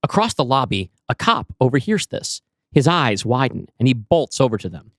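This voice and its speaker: Expressive male screenplay narrator, lively pitch. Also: normal speed, dry recording, clean studio sound. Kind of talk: scripted screenplay narration, present tense.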